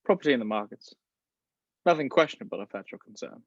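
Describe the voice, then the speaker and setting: A man playing a polished gentleman spy. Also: medium pitch, animated, fast, no room sound. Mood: suave. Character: suave, polished gentleman spy, middle-aged. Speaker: table read actor. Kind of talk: amateur online table read. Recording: dry, dull home mic.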